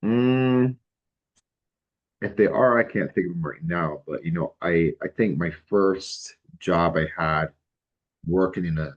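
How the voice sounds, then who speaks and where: Very deep-voiced man, halting. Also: highs cut off. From Barbados. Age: middle-aged. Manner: halting, many ums and restarts, relaxed. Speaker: guest, licensed mental health counselor. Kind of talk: casual interview answers.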